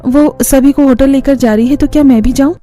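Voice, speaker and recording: Woman voicing a high, plaintive young daughter. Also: steady tone, a little fast, mellow, close to an everyday voice. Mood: pleading, aggrieved. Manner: plaintive, protesting. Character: aggrieved, pleading twenty-year-old daughter. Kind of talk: Hindi family cartoon voice acting.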